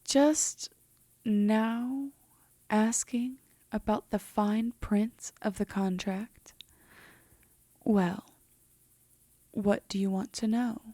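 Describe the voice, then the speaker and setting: A woman playing a composed, teasing female demon in an intimate roleplay audio drama, often slipping into a breathy half-whisper. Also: medium pitch, steady tone, very slow, long pauses, dark tone, no room sound. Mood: teasing, obliging.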